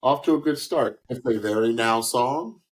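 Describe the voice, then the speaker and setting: Guy doing casual music critique on a podcast, medium pitch, kinda halting, opinionated. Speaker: podcast co-host, singing critic. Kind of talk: casual music reaction commentary.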